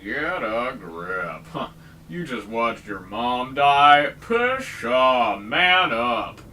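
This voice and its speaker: A man with a lively, bright voice rambles and jokes as he plays through a game, breaking into mock-dramatic outbursts. He sounds set back from the mic, with a faint hum of room ambience behind him. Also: mid-range voice, extremely slow, far from the mic. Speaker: gaming video host. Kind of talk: casual let's-play commentary.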